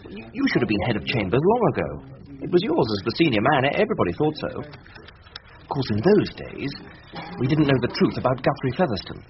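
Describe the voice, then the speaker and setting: An actor playing an indignant, gossipy younger English barrister in a radio drama, pompous and insistent in manner. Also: male voice, mid-range voice, very animated, brisk, close to an everyday voice. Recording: dull radio recording over crowd chatter.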